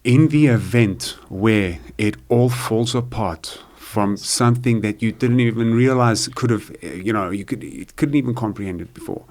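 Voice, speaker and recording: Halting podcast host, a man with a lowish voice. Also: a little mellow. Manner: halting, many fillers and restarts. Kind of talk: casual interview chat.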